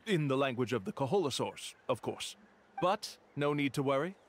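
A man voicing a polite young tour guide in a video game, formal, courteous and smooth. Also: mid-range voice, expressive, moderate pace, a little hiss or hum, lightly acted. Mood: earnest. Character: polite, earnest-sounding young male island tour guide.

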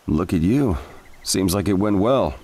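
A man's voice: deep voice, animated, measured pace, darkish tone. A man voicing a mild, cautious adult ninja captain in an anime video game, with a hesitant, questioning manner. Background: faint game music.

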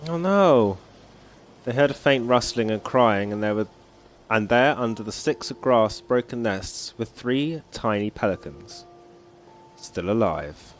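A man's voice: fairly low pitch, lively intonation, measured pace, a little hiss or hum. A man streaming a game at home, chatting casually, musing aloud and reading on-screen story text, with frequent chuckles. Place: home, at the computer. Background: faint game music.

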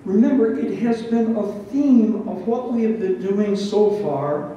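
An older man in his sixties teaches scripture from the front of a very echoey room, sounding a little distant. His voice sits high for a man and is soft-edged and mellow. He explains deliberately, posing questions as he goes. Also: lo-fi sound. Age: 60s. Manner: deliberate, didactic, with rhetorical questions. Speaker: pastor teaching a Bible study. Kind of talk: expository sermon, reading and explaining scripture. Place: barn hall with a stage, indoors. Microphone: headset. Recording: roomy, echoing, distant sound with faint noise.

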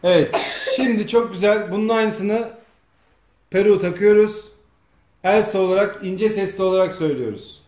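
Bossy, high-pitched man directing. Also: normal speed, darkish tone. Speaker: off-camera director of a comedy sketch. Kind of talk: coaching and feeding lines. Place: home dining room, indoors. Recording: echoey room, faint noise.

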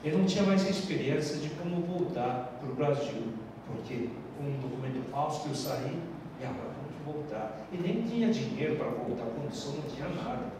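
A middle-aged man, a pastor giving an earnest sermon testimony into a handheld mic on a church stage, with halting phrasing and frequent restarts. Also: a little high, expressive. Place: church stage with large hall. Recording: echoey hall from far off with background noise.

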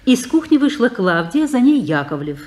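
A woman reading stage directions for a radio play in an even, measured way. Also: fairly high pitch. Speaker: narrator.

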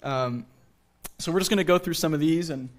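A man's voice: fairly high pitch, a little fast. A young man with an American accent giving a lecture with casual asides at a theater podium microphone. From New England, USA. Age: young adult. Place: theater stage.

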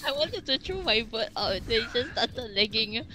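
A woman's voice: a little high, expressive, normal speed, over a noisy crowd. Giggly young-sounding woman gamer. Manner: giggly, laid-back, blames her lag. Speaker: teammate. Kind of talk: casual gaming chat.

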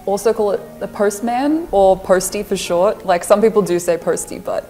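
A woman's voice: mid-range voice, moderate pace, mellow, soft background music. A young Australian woman in a casual panel chat, friendly and agreeable. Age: young adult.

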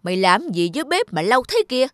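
A woman voicing a greedy, stingy old male landlord in a cartoon, gruff and bossy. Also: on the high side, sing-song, quick pace, no echo. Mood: scolding. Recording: studio voice with loud music.

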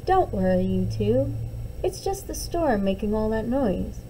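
A woman voicing a gentle, reassuring mother of twins in a storybook, soothing and patient. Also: medium pitch, very animated, measured pace, slight background noise, low-quality recording.

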